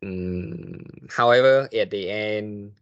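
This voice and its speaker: Slow, low-voiced man. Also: muffled recording. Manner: halting, hesitant phrasing. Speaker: cybersecurity investigator, guest speaker. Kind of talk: answering questions in an online meeting.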